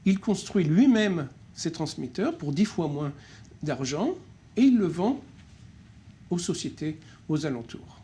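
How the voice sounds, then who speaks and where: Hesitant French-speaking man, higher voice. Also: sing-song, a little slow, mellow. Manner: hesitant, many fillers and restarts. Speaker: co-director of a nonprofit, interview guest. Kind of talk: spontaneous interview answers.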